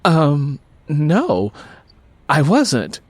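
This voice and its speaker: Man voicing an exasperated, guarded woman, husky and raised. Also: fairly high pitch, wildly swooping pitch, very slow, no room sound, pitched above the performer's own voice, exaggerated voice. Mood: curt. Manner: frustrated, blunt, reluctant. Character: exasperated, guarded young woman with broken-down car.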